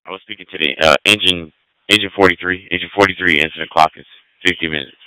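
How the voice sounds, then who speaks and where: A man's voice: sits low, fairly even intonation, fast talker, bright, forceful tone, clear, resonant tone, dry recording, poor audio. A man dispatching fire and emergency units over two-way radio, procedural and repeating key details.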